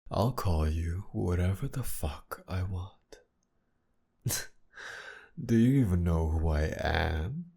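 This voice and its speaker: A man playing a mocking, menacing masked villain kidnapper in an intimate ASMR roleplay, with a gravelly, breathy murmur that often sinks toward a whisper. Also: sits low, animated, dark tone, exaggerated voice. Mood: commanding, mocking. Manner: taunting, commanding, slow and teasing.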